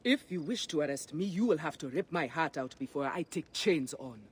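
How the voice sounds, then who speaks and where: A woman's voice: low-pitched, animated, brisk, husky, heavily acted. An actress playing a proud, defiant Nabataean woman warrior and liberator in a video game, with impassioned, declamatory delivery. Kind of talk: dramatic video game cutscene acting.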